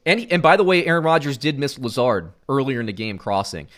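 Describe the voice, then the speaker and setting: A middle-aged man from Central Florida co-hosting a casual sports podcast, animated, going from loud outbursts to muttered asides. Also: mid-range voice, brisk. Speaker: podcast co-host.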